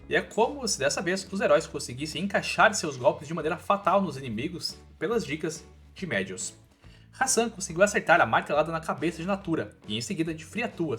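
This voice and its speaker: Brazilian guy doing comic recap narration, slightly high voice, really lively pitch, music playing behind.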